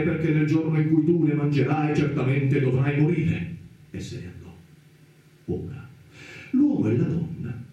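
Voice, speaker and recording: A man's voice: on the high side, mellow, lo-fi sound. Echo-drenched man solemnly reciting scripture. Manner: declamatory, measured, theatrical. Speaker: radio theatre narrator. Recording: very reverberant, distant voice over music. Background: music.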